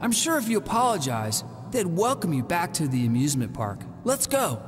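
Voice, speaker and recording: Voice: medium pitch, huge pitch swings, brisk, breathy edge, full character voice. An actor playing a brave, hot-headed young hero boy in a video game cutscene, speaking in an earnest, emphatic way. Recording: long reverb over game music.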